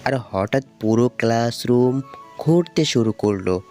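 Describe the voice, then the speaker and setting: A man with a Bangladeshi accent narrates a cartoon story from a script. His mid-pitched voice sits over clear background music. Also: expressive, unhurried. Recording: music under the voice.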